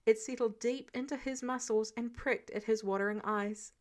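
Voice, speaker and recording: A woman narrates fiction in a slightly high voice. She reads from a script as an audiobook narrator would, with expression, and she acts out the characters' dialogue as she goes. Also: steady tone, moderate pace.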